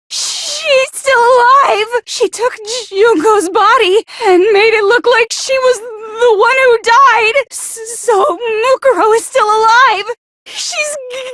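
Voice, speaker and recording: A woman voicing an anxious, gloomy high school girl in an anime game dub, stammering and accusatory. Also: fairly bright tone, dry recording, studio quality, exaggerated voice. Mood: agitated revelation. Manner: stammering, accusatory, easily flustered.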